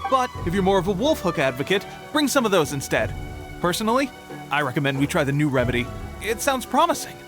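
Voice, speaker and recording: An actor playing an eager, boastful young soldier in a video game, earnest and full of bravado. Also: male voice, sits high, big pitch swings, fast, over music. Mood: upbeat. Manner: enthusiastic, storytelling bravado. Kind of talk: video game character dialogue.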